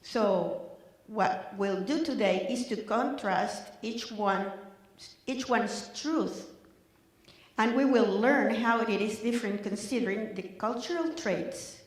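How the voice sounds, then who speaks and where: Older woman, slow, in a very echoey hall. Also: on the high side. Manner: careful, measured delivery with small restarts. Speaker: panel facilitator. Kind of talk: presenting to a live audience.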